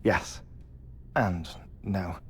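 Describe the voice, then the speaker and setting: An actor playing a melancholy, eccentric widower in a cinematic video game, with halting, wordy, formal speech. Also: male voice, low voice, steady tone, fairly bright tone, a little hiss or hum, exaggerated voice. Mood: wry, awkward.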